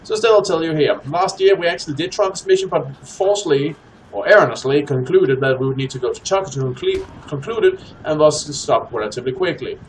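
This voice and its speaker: A man's voice: fairly high pitch, fairly quick, poor audio. A man hosting a co-op let's play, commenting casually in wordy, rather formal phrasing. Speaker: host of a co-op gaming series. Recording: faint game noise behind voice. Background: game ambience.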